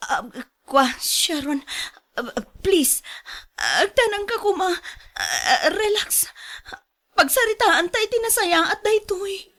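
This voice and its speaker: A woman plays a guilty young Filipino woman in a radio drama. Her high voice pleads for forgiveness, halting and faltering between phrases. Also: very animated, fairly bright tone, exaggerated voice. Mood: startled, pleading.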